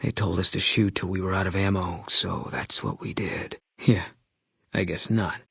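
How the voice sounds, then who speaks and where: Grim burned-out sniper, real low husky voice, short flat answers. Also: male voice, moderate pace, dry recording, full character voice. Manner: terse, clipped, flat and guarded. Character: grim ex-soldier sniper, curt and haunted. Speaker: companion character. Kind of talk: video game voice lines. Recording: dry studio sound.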